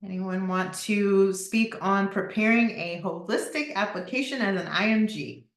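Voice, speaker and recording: A woman's voice: medium pitch, unhurried. Woman moderating in a roomy echo. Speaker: panel moderator, doctor. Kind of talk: hosting an online panel discussion. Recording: roomy, echoing sound.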